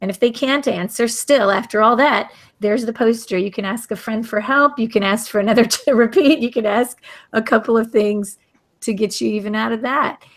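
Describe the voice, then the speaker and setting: A woman's voice: a little high, normal speed. A woman educator hosting a video call discussion, speaking earnestly and emphatically, often restarting mid-sentence. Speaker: educator, hangout host and author.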